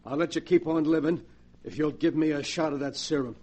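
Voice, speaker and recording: A man's voice: fairly high pitch, fairly quick, mellow, lightly acted. A man acting a ruthless, cocky gangster in 1940s radio drama, talking tough in menacing racketeer slang. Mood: menacing, pushy. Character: ruthless, cocky gangster who believes himself invincible.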